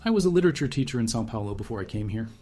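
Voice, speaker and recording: A man voicing a nervous, soft-spoken Brazilian woman janitor who used to teach literature, speaking politely and respectfully. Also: fairly low pitch, expressive, racing. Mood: determined. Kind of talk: storybook character voice.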